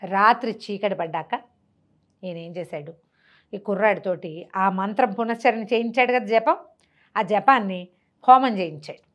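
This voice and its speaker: A woman storyteller with a mid-pitched voice narrates an old tale as if chatting to a listener. She throws in rhetorical questions and voices the characters' dialogue herself. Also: moderate pace, long pauses, a bit bright. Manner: conversational, asks rhetorical questions, acts out dialogue. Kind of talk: animated oral storytelling of a traditional tale.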